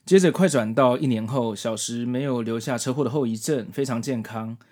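A man's voice: medium pitch, brisk, darkish tone. A man in his forties with a Taiwanese Mandarin accent, hosting a podcast and reviewing manga in conversational narration. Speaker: podcast host.

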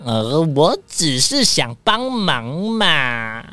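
A naive, pampered rich man in a children's radio play, whining and fussing theatrically. The tone is bright and forceful, with big swings of pitch. Faint music sits behind. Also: sing-song, very slow, heavily acted. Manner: fussy, whining, dramatic. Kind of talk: children's detective audio drama.

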